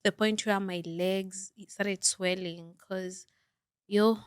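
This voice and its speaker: A young woman from Kenya chats on a podcast with a mid-pitched, everyday voice. Her talk is animated and storytelling. The sound is close and dry, with no room around it. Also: takes it slowly, clear, resonant tone, no room sound. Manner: chatty and animated, telling stories with faith remarks. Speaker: podcast co-host. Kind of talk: casual podcast chat.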